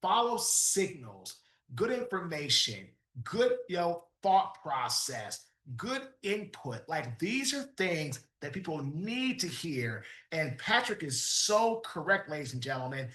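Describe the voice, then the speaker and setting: Male podcast host, punchy motivational delivery, bright voice, roomy sound. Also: a little high, big pitch swings, takes it slowly. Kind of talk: podcast interview with ad read.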